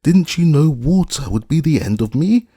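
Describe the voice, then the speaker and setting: A screeching, cunning old witch from a storybook reading, put on by a man with a husky voice. The voice jumps up high and wails. The sound is close and dry. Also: sing-song, a little slow, darkish tone, dry recording, exaggerated voice. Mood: wailing. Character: shrieking wicked old witch, cunning and cruel.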